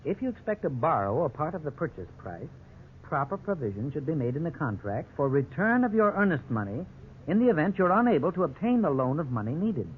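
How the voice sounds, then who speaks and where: An actor playing a frank, authoritative lawyer in an old radio drama, formal and instructive. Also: male voice, a little high, sing-song, brisk. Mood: stern. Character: measured, frank, authoritative male lawyer advising clients. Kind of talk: 1950s radio drama acting. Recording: tinny, noisy old radio broadcast.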